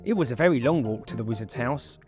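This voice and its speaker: A man reading a children's story aloud as an expressive storyteller. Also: mid-range voice, fast, soft background music.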